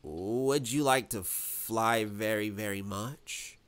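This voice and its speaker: An old storybook alley cat, acted out by a man, worldly but worn out. The words come very slowly and haltingly, with courteous hesitation, at a fairly low pitch. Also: a bit bright, clear, resonant tone. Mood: curious. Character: old female alley cat, polite worn-out former traveler.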